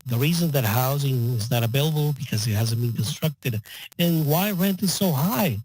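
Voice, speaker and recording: A man interpreting public comment at a meeting, delivering it in halting, piecemeal phrases. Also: mid-range voice, lively intonation, darkish tone, poor audio.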